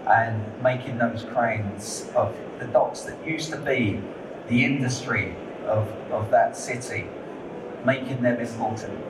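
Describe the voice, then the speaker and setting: Candid male lighting designer in a hall. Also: mid-range voice. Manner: conversational, candid, repeats words while thinking. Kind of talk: conference panel talk. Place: conference hall. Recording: echoey hall, distant mic, noisy.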